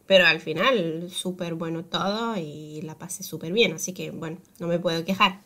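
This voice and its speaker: A woman speaks thoughtfully as a podcast guest, pausing to search for words. Her voice is bright and sharp, and it sits a touch low. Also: fairly even intonation. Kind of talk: casual podcast interview.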